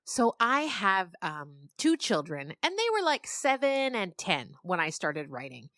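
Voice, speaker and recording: Woman, middle-aged, interviewed author. Bright, forward tone, animated and conversational, dry recording. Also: medium pitch, a little slow, no echo. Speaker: novelist and podcast creator being interviewed.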